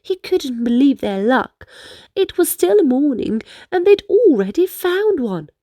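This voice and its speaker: A woman narrates a children's story in a high, very animated voice. She takes her time, reading expressively, and the sound is dry and close. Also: measured pace, no room sound. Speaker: storybook reader. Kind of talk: children's read-aloud narration.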